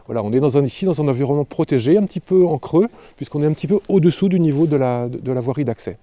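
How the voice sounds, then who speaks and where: A man giving a spontaneous guided explanation as an architect, halting and often repeating words as he goes. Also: fairly high pitch, animated, warm tone, dry recording. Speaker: architect presenting a building project.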